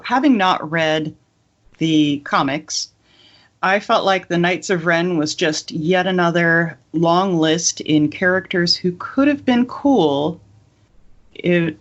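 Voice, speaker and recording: A man co-hosting a casual podcast, giving his opinions bluntly. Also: on the high side. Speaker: podcast co-host. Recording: dull, lo-fi audio.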